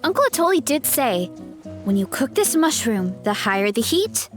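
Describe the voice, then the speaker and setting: High-pitched, sensible game companion. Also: female voice, big pitch swings, normal speed, soft background music. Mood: agreeable, careful. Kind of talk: video game voice acting.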